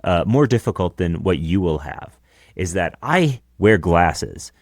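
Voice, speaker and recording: Deep voiced podcast dude chatting about his gear, super low, dry close mic. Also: expressive, unhurried, no echo. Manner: chatty, explaining his gear with casual asides. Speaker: podcast co-host. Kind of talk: casual chat. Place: small home studio, indoors. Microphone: close dynamic broadcast mic.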